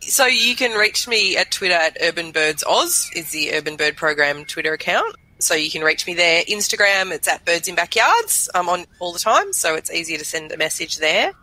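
A woman's voice: lowish voice, animated, normal speed, bright, forceful tone, poor audio. A woman sharing information as a regular guest in casual chat. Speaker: regular guest, bird program manager.

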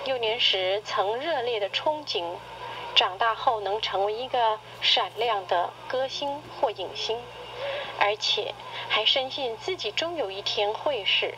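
A middle-aged woman reading a personal essay in radio drama style, with measured, literary, expressive delivery. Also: on the high side. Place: played back from a cassette recorder speaker. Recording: noisy cassette tape played through a recorder.

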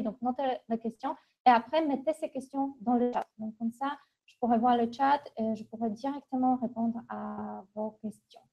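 A middle-aged woman from Poland with a Polish accent, presenting an informal webinar in a halting, conversational way. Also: fairly high pitch, warm tone. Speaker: university international relations manager, webinar presenter. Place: small office, indoors. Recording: dull, lo-fi webcam audio.

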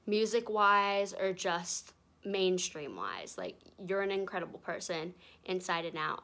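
A woman's voice: fairly low pitch, fairly bright tone. A young woman in an armchair indoors recording an earnest, sincere congratulations message into a handheld phone camera. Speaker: fan sending a video message.

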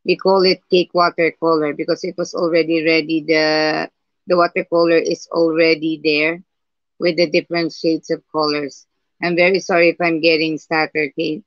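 A woman with a husky voice hosting a live painting tutorial, talking slowly and hesitantly with many fillers and restarts. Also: sits low, flat delivery, no echo. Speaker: art livestream host. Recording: dull lo-fi livestream audio.

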